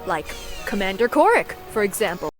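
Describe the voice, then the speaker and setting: A woman's voice: medium pitch, big pitch swings, moderate pace, close to an everyday voice. An actress playing a sardonic, impatient young female airship pilot in a video game cutscene, blunt and teasing, over music. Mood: wry. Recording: faint music behind the voice.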